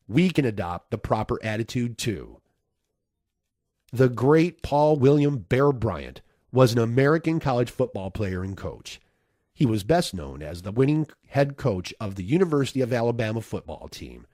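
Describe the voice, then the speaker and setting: A man reading a nonfiction audiobook as narrator, in a measured, instructive way. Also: mid-range voice, lively intonation, a little mellow, dry recording, clean studio sound.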